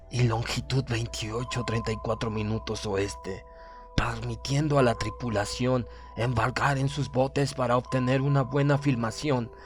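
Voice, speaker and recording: A man reading an audiobook as a proud, cold German submarine commander, in a formal, measured and pompous manner. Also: medium pitch, natural voice. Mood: cold, matter-of-fact.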